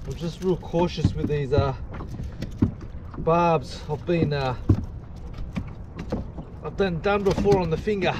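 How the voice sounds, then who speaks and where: Aussie bloke on a kayak cam chatting slow, kinda high voice, wind and water all around. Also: male voice. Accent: Australian. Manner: excitable, laughs often, chatty to viewers. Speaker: fishing vlogger. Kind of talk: casual running commentary while fishing. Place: kayak on open bay outdoors. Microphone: action camera mounted on kayak. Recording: wind and water noise outdoors.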